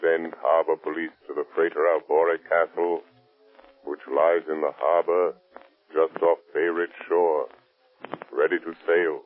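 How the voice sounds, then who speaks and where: An actor playing a mysterious, mocking unseen avenger, commanding and sardonic, in 1930s radio drama style on a thin vintage recording. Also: male voice, a bass voice, flat delivery, a little slow, phone-quality sound, faint music behind. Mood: urgent, commanding.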